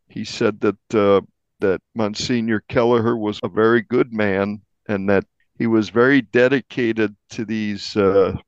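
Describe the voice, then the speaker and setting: An American man with a fairly low voice recounts events as an interviewed reporter. He talks carefully and a little haltingly, on a dry, slightly muffled recording. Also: clean tone, no room sound. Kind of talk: conversational recounting of a crime story.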